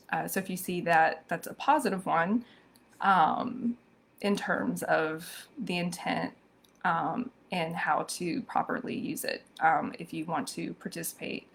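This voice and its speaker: A hoarse woman hosting a live-streamed social media commentary, thoughtful and full of ums and restarts. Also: fairly low pitch. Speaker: social media show host.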